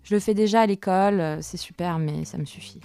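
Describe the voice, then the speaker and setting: A woman's voice: lowish voice, fairly quick, gravelly, mellow. Woman acting hesitant workshop worker in an audio drama, colloquial, faint music behind. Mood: firm, reluctant. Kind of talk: naturalistic audio fiction dialogue.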